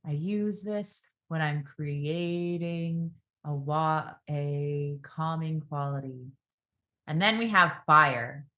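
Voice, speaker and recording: A woman speaking as a podcast guest and speaking coach, calm and reflective, slowing down and shifting her voice to demonstrate. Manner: calm, varies pace and pitch to demonstrate voice.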